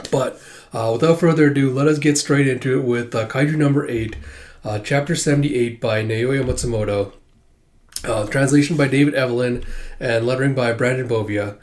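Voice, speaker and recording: Stuffy-voiced man halting with fillers. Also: medium pitch, a little mellow. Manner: halting, many filler sounds. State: stuffy, sore throat from a cold. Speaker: manga reviewer. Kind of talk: casual chapter review, recapping.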